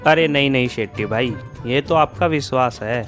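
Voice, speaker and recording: A man's voice: mid-range voice, moderate pace, fairly bright tone, close to an everyday voice. A man playing an honest, polite milkman in a Hindi moral-story cartoon, speaking earnestly and deferentially. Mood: humble. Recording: music behind the voice.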